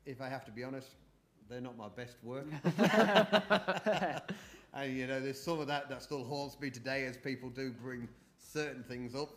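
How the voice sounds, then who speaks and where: A middle-aged man with a medium-pitched voice, born in New Zealand and brought to the UK as a boy. He talks as a podcast guest in a casual, chatty way, wandering through his recollections. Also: measured pace, a bit bright. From born in New Zealand, moved to the UK aged eight. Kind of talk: casual interview, reminiscing.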